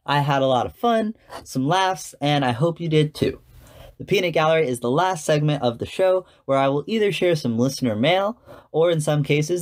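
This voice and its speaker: A man hosting a podcast, reading scripted commentary in a measured, articulate presenter's manner. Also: a little high. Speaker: podcast host.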